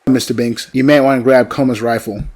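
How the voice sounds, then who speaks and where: A man's voice: medium pitch, fairly even intonation, brisk, darkish tone, lightly acted. A man acting a stern but loyal mercenary squad leader in a sci-fi audio drama. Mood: defiant.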